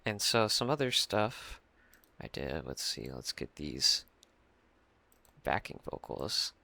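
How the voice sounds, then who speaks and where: A young man with a low voice, rambling through a casual mixing tutorial at his computer, full of fillers and restarts. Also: very animated, slow, gravelly, pushed and bright. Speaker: music producer explaining a mix. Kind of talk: casual screen-share tutorial. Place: small room at a computer.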